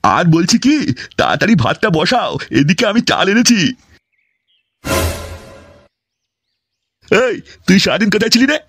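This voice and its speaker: A man's voice: high voice, sing-song, fast, fairly bright tone, a little hiss or hum, heavily acted. A man playing a grumpy, foul-mouthed cartoon father who rants and scolds his idle son. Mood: grumbling. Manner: scolding, ranting, crude. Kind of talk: exaggerated comic cartoon dialogue.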